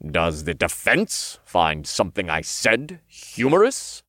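A man voicing a smug, stern male archangel in a fantasy audiobook, formal and condescending in his measured delivery. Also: sits low, wildly swooping pitch, fairly bright tone, no room sound, studio quality, full character voice.

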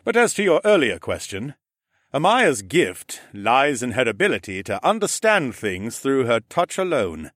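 A man voicing a stately, formal male ram lord who speaks in measured, courtly phrases. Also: medium pitch, sing-song, a bit bright, no room sound, clean studio sound, pitched above the performer's own voice. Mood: earnest.

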